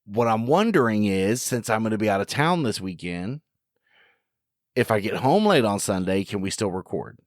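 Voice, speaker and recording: A man's voice: lowish voice, lively intonation, moderate pace, no echo, clean studio sound. Joking, foul-mouthed man on a podcast. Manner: crude, joking, swears a lot. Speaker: podcast co-host. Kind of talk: casual chat.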